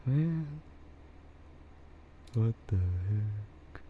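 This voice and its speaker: A man livestreaming casual ASMR chat in a hushed, rambling way that often slips toward a whisper. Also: lively intonation, extremely slow. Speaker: livestream host. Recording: muffled low-fidelity mic with clear background noise.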